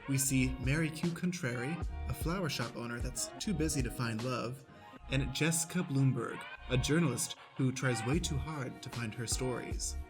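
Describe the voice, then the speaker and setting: A radio play narrator of mid pitch sets each scene like an announcer. Stage directions come in a presentational style, with music playing clearly underneath. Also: moderate pace. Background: prominent music.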